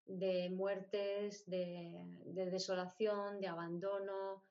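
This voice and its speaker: A dull, lo-fi recording of a middle-aged woman with a mid-pitched voice. She is an interview guest telling personal stories, stacking short phrases and repeating them for emphasis. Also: flat delivery, extremely slow, warm tone. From born in Spain, grew up moving between countries. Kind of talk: personal storytelling in conversation. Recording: dull, lo-fi audio.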